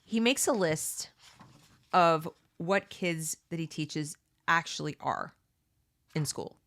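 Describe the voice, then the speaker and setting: A woman's voice: low voice, slow pace, fairly bright tone. A middle-aged woman, a homeschooling parent, reading a book aloud and breaking off into earnest, opinionated asides, often checking in with "okay?" and "you know.". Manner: reads passages then breaks off into emphatic asides. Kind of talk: read-along with conversational commentary.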